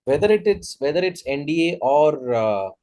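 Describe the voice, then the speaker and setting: A man's voice: fairly high pitch, very animated, normal speed, dark tone. A man in his thirties with an Indian English accent, coaching students in an online class, earnest and hesitant with many restarts. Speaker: exam coach.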